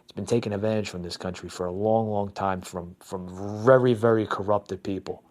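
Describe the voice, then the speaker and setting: A man with a fairly low voice hosts a podcast and rants about the news, calling his listeners "folks." He often stumbles into repeated words and restarts. He is from New Jersey. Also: fairly even intonation, moderate pace, a little mellow. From New Jersey, USA. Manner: ranting, addresses listeners as folks; repetitive restarts, stammering repeats. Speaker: podcast host. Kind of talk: opinionated political commentary.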